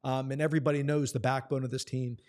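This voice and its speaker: A man's voice: mid-range voice, level tone, fast, a little mellow. A man who works as a play-by-play announcer, talking earnestly and supportively about players in a sports conversation.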